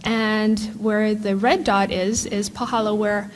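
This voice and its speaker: A woman's voice: medium pitch, flat delivery, slow, slight background noise. A middle-aged woman from Hawaii giving a conversational conference talk over slides, with frequent ums and self-corrections. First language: English. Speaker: keynote speaker, professor. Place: conference hall.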